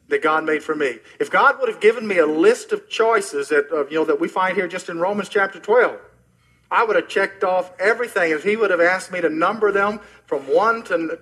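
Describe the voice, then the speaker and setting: A middle-aged man preaching a sermon as pastor, earnest and personal, often dropping soft and low at the ends of phrases. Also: husky, low-quality recording. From Oklahoma, US.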